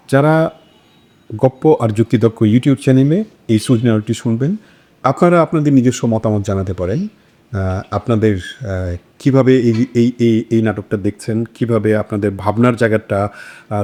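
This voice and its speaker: A man's voice: fairly low pitch, dark tone. A man hosting a literary discussion, speaking in hesitant phrases with repeated words. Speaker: discussion host. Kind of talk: moderating a literary panel discussion.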